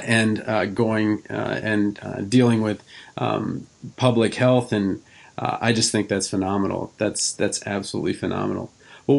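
A man's voice: lowish voice, creaky. A man hosting a podcast interview in a conversational style, asking questions and responding to his guest.

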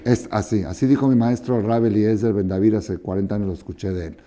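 Older man, rabbi teaching a class, low pitch, mellow tone, expressive, quizzing listeners, study hall. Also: fast. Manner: expressive, questioning listeners, acting out dialogue. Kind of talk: animated Torah lesson with parables. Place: study hall with wooden chairs, indoors.

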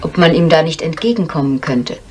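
An actress playing a controlled, determined young daughter in a 1970s radio crime drama, speaking tersely in a husky voice. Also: female voice, low-pitched, expressive, a little fast, darkish tone, slight background noise. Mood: wary.

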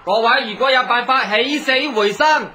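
An actor playing a town crier in a radio drama, calling out a proclamation in drawn-out Hong Kong Cantonese. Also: male voice, very high-pitched, a little hiss or hum. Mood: urgent, proclaiming. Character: male town crier proclaiming a rich man's reward. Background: loud music.

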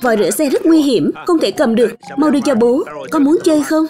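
A woman's voice: high voice, animated, quick pace, warm tone. A woman voicing a strict but caring father in a dubbed children's cartoon. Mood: exasperated. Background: background music.

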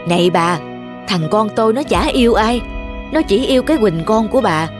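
A woman voicing a haughty, pushy, rich middle-aged mother in an animated folk tale, bossy and boastful. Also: mid-range voice, normal speed, over music, close to an everyday voice.

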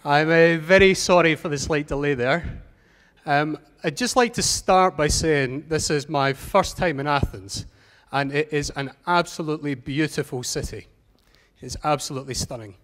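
A middle-aged Scottish man giving a measured, earnest talk on a large auditorium stage through a headset mic. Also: fairly high pitch, a bit bright. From Scotland. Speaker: conference speaker.

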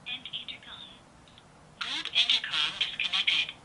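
A woman's voice: a little high, animated, measured pace, pushed and bright, a little hiss or hum. A woman's voice giving short scripted system announcements as a device voice prompt.

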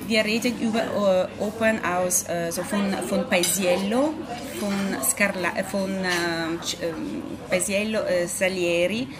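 A woman in her thirties from Italy, a pianist speaking German with an Italian accent, presenting her concept at a press conference and often pausing with fillers while she searches for words. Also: mid-range voice, fairly even intonation. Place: ornate café room with audience. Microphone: table microphone at a distance. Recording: room with a loud crowd.

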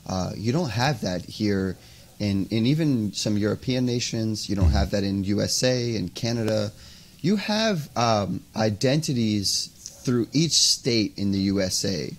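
American man talking haltingly on a video call. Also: fairly low pitch, lively intonation. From United States. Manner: casual, halting, lots of "you know" and "um". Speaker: podcast guest. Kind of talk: interview conversation. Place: indoors by a window, video call. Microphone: earphone mic on a video call.

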